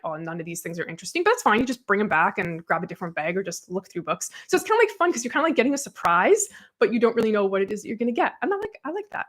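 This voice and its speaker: A woman's voice: mid-range voice, fairly bright tone. Chatty woman, rambling casual talk. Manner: chatty, rambling, self-deprecating, many "like"s. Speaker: podcast co-host. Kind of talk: casual chat.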